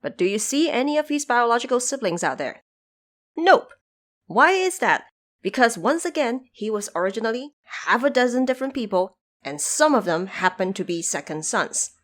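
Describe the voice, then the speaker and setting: A woman hosting a podcast, explaining background notes in a measured, instructive way with conversational asides. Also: fairly high pitch, very animated. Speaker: podcast host. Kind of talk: explanatory production-notes narration.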